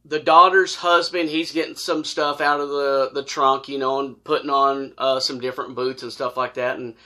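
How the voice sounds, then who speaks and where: A middle-aged man with an American accent telling a personal story casually, in a folksy, animated way and acting out dialogue. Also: medium pitch, moderate pace. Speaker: storyteller, former law enforcement officer. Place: small wood-paneled room indoors, seated on a couch.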